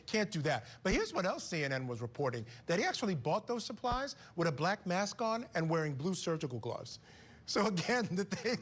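A middle-aged man hosting a TV comment segment in a studio, talking with an animated, conversational manner on a lapel mic. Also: on the high side. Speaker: TV news host.

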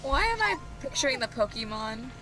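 A woman's voice: on the high side, very animated, fairly quick, very noisy. A woman joking casually with friends during a game stream. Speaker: streamer, amateur voice actor.

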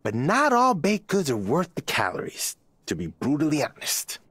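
An actor playing a blunt, fussy villager in a video game. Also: male voice, on the high side, huge pitch swings, normal speed, noisy background. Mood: dry, disdainful. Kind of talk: video game character dialogue.

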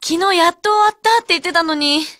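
A woman's voice: very high voice, fast, bright, forceful tone, no echo, studio quality. An actress playing a polite, concerned schoolgirl in a radio drama.

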